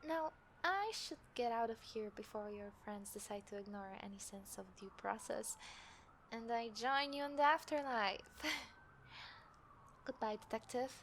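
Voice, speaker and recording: A woman playing a taunting, smug serial killer in an audio roleplay, speaking deliberately and theatrically with breathy drops toward a whisper. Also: a little high, fairly bright tone. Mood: gloating. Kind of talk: scripted audio roleplay addressed to the listener. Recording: clear background noise, city ambience effects.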